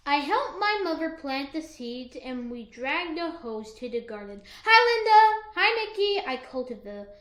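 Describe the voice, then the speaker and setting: A woman reads a children's story aloud with emphasis. Her voice is high and bright, with very animated intonation. The recording sounds roomy. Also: moderate pace. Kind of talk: read-aloud of a children's picture book.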